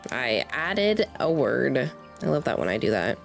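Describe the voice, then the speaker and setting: A woman's voice: extremely low pitch, big pitch swings, a little slow, creaky. A woman doing casual live-stream game commentary, chatty and candid, swearing freely. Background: game music.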